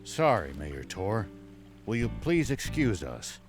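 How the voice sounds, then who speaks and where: A man playing a seasoned older military commander in a video game, serious, decisive and deliberate. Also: lowish voice, very animated, faint music behind. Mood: calm. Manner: authoritative, deliberate. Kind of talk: video game cutscene acting.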